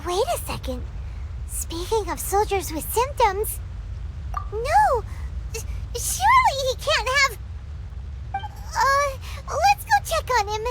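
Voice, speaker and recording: Squeaky, very high little fairy girl. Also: sing-song, takes it slowly, pauses often, background noise, exaggerated voice. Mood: worried. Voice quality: squeaky, childlike. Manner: exclaiming, speaks of herself in third person. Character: tiny excitable fairy girl companion, childlike. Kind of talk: video game voice acting. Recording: loud game music underneath. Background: game music.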